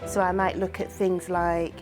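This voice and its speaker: Low-voiced woman talking over music. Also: little pitch movement, moderate pace. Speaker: witness intermediary. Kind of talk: interview talk about own work.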